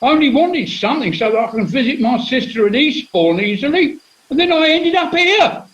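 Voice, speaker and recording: A man's voice: extremely high pitch, expressive, fairly quick, fairly bright tone. A man playing a belligerent, indignant castaway full of complaints in a comic radio play, on a muffled recording. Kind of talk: British comedy radio play acting.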